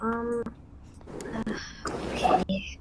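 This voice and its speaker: A woman playing a shy, nervous young schoolgirl who speaks haltingly in a game roleplay. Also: fairly high pitch, little pitch movement, in a whisper. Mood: nervous, unwell. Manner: hesitant, halting. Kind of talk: improvised voiced game roleplay. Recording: noisy home mic with room ambience.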